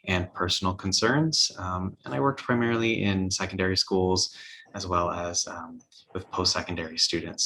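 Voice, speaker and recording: Bright, lower-voiced Canadian man. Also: low-quality recording. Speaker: university lecturer, panelist. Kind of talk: panel self-introduction, informal professional.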